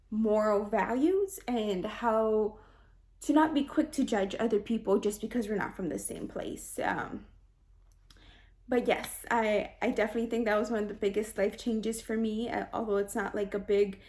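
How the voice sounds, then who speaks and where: A young woman from Hawaii giving an earnest, reflective self-introduction for a class, with small restarts and soft laughs. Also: medium pitch. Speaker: student introducing herself.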